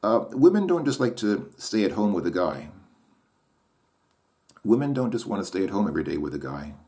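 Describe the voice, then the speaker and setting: A man with a deep voice thinking aloud in a solo monologue. He hesitates, restarts his sentences and questions himself as he goes. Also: very animated, brisk, a little mellow.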